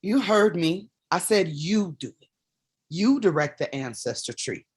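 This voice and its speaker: A woman's voice: lowish voice, animated, a little slow, breathy edge, exaggerated voice. A woman playing a weary, proud, commanding mother in a staged play reading. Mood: firm, commanding. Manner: blunt, commanding. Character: weary, proud mother, playwright at odds with her demons.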